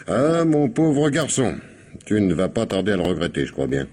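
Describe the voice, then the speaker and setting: A man's voice: fairly low pitch, sing-song, moderate pace, darkish tone. An actor playing a wry, indulgent old father in an old French radio drama, musing and sly. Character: wry, indulgent old father teasing his grown son. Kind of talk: old French radio comedy-mystery acting.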